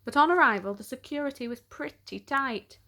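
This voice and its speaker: A woman's voice: high-pitched, lively intonation, a little fast, sharp tone, slight background noise. A woman storyteller reading a children's picture book aloud, expressively, with dramatic emphasis on key words.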